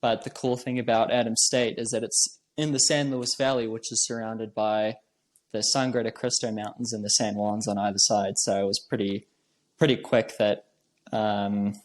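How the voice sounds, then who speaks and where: A young man from New Zealand, a trail runner, chatting casually as an interview guest and filling his talk with "like" and "um". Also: on the low side. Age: young adult. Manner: relaxed, with many fillers like "like" and "um".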